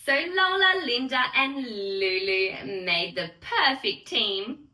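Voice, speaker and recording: A woman's voice: fairly high pitch, animated, slow pace, sharp tone. A woman reading a bedtime story aloud to small children in a theatrical sing-song way, talking directly to her young listeners. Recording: roomy echo, distant microphone.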